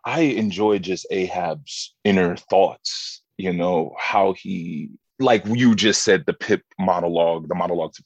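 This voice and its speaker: Deep-voiced man chatting enthusiastically. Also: unhurried, hardly any pauses, no room sound. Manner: enthusiastic, reflective. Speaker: voice actor discussing his lead role. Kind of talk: casual conversational interview. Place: remote video call. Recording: dry video-call recording.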